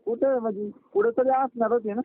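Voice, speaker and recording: A man's voice: very high-pitched, fairly even intonation, fast talker. A young man chatting casually with a friend in an insistent, blunt, repetitive way on a phone call. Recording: narrow, tinny phone call recording.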